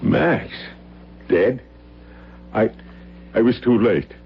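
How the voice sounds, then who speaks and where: A man's voice: lowish voice, animated, very slow, gravelly, slight background noise, full character voice. A man acting a shaken, suspicious friend of a dead man in an old-time radio drama. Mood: distressed. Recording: dull old radio recording.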